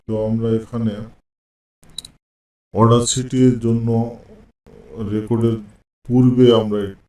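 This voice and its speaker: A man gives an informal, halting, explanatory software tutorial over a screen recording. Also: mid-range voice, flat delivery. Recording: echoey room, distant microphone at times.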